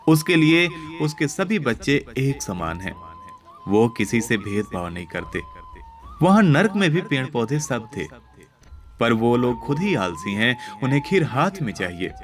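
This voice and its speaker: A man voicing a benevolent god of death who speaks with calm authority in a moral tale. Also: lowish voice, unhurried. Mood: wise, instructive. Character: wise, benevolent male god of death guiding souls. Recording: background music under voice.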